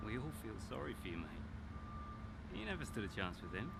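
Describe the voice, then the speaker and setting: A man's voice: fairly low pitch, very animated, a little fast, vocal fry. Cocky, taunting male rival racing driver, noisy paddock. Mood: mocking. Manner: taunting, sardonic, slangy. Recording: very noisy outdoor paddock, passing cars.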